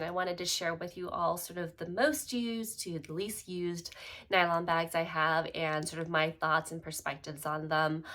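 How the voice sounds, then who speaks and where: A young adult woman who sounds congested, chatting casually through a bag review vlog at home, with lots of fillers. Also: on the low side. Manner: relaxed, chatty, many fillers. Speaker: YouTube vlogger.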